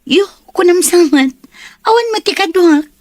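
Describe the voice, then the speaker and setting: A woman's voice: very high-pitched, lively intonation, a little slow, no room sound, clean studio sound. A woman acting a resentful, blunt young woman in an Ilocano audio drama.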